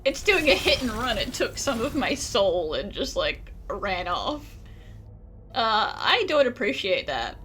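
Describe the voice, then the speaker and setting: A woman's voice: fairly high pitch, sing-song, a little slow, fairly bright tone, a little hiss or hum. A woman gaming streamer giving casual playthrough commentary with wry, sarcastic asides.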